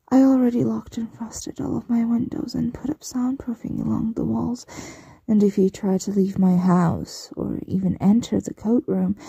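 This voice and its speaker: A woman playing a possessive, smugly menacing mistress in an ASMR roleplay, breathy and often whispering, with a teasing, condescending coo. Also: fairly high pitch, mellow, no echo, exaggerated voice. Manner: teasing, condescending, drawn-out cooing.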